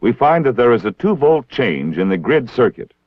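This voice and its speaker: An American man narrating a scripted training film in a formal, measured, precisely enunciated way. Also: medium pitch, very animated, no room sound. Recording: dull 1960s film soundtrack.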